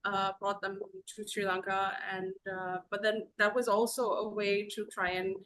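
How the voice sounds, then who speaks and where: A woman from Zambia talks as a podcast guest in a loose, rambling way, pausing often as she tells her story. Her voice sits in an ordinary middle pitch. She comes through a video call. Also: flat delivery, a bit bright, rough recording. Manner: rambling, conversational storytelling with many pauses. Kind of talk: casual podcast conversation.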